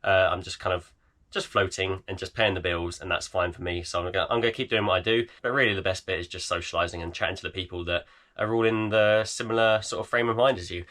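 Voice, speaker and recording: A man in his thirties, croaky and tired, chatting casually in a vlog with lots of fillers. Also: low-pitched, steady tone, fast, a bit bright. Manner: tired, rambling with many fillers. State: croaky, voice almost gone. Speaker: eBay reseller vlogger. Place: home garage.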